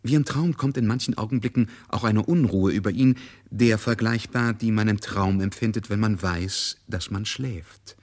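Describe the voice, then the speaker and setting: An actor narrating a literary radio drama in a measured, emphatic, worldly manner. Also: male voice, fairly low pitch, close to an everyday voice. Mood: dramatic narrating.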